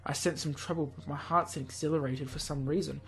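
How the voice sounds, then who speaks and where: A man's voice: a little high, fairly even intonation, a little fast, breathy edge. A man hosting a let's play, reading a visual novel aloud theatrically and adding casual, offhand asides. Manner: theatrical read-aloud mixed with offhand commentary. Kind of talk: game narration with casual asides.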